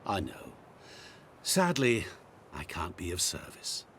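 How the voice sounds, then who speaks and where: An actor playing a sly, calm otherworldly bargainer in a video game, speaking in measured, theatrical phrases over faint rumble. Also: male voice, heavily acted. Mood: smooth, knowing. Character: sinister otherworldly bargain-making man, calm, sly and knowing. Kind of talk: video game dialogue acting.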